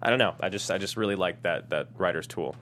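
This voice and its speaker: A man's voice: low-pitched, fast talker, fairly bright tone, a little hiss or hum. A man chatting casually as a panelist, in an informal and appreciative manner. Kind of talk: casual chat.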